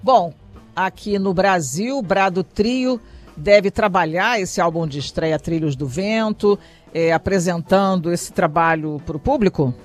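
A man's voice: high-pitched, measured pace, soft background music. A man interviewing a guest on the radio, chatty and upbeat, joking easily.

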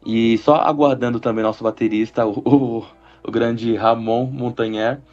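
A man, a double bassist, talking as a radio guest in a rambling, enthusiastic way with many fillers. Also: fairly even intonation, unhurried, darkish tone, muffled recording. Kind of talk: radio interview.

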